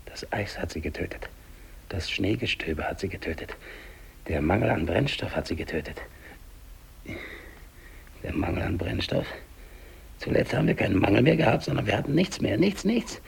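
An actor playing a dying, despairing English polar explorer alone, brooding and halting, in a 1950s German radio drama monologue. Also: male voice, fairly low pitch, full character voice, rough recording. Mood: solemn, mournful. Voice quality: gravelly, husky. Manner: halting, brooding, repeats words, hushed to declamatory.

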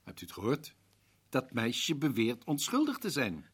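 A man playing an earnest, persistent professor in a Dutch radio drama, questioning in a deliberate, rhetorical way with a husky voice. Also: medium pitch, huge pitch swings, full character voice. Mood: pressing. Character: probing, compassionate male professor, earnest and persistent. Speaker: actor in a radio play.

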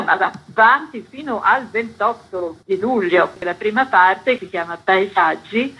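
A woman's voice: medium pitch, pushed and bright, slightly rough. A woman, a festival artistic director, explaining things in a radio interview with limited sound quality. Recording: dull lo-fi radio interview audio.

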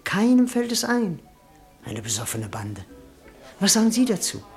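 Brief: an effusive, naive suitor with a lively voice, over faint music. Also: male voice, high-pitched, normal speed, full character voice. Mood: puzzled. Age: young adult. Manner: effusive and gushing, lapses into hushed tones. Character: lovestruck young man, effusive and naive. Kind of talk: radio play acting.

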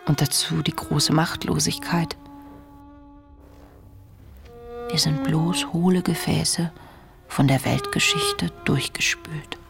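An actress playing an earnest, introspective young woman reading her wartime diary in a radio play, measured and intimate. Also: low-pitched, fairly even intonation, creaky. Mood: troubled. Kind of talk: radio drama diary monologue. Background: music.

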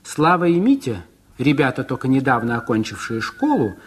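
An actor narrating a radio play as a musing storyteller, with theatrical exclamations and dramatic pauses. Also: male voice, medium pitch, sing-song, a little hiss or hum, close to an everyday voice. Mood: unhurried storytelling. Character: male storyteller, musing and fretting over growing children.

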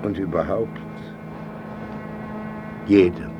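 An actor playing a frail, wry old painter in a radio drama, speaking tersely and reflectively as someone wistful and close to death. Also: male voice, deep voice, slow, lo-fi sound, over music. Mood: gentle, resigned. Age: older.

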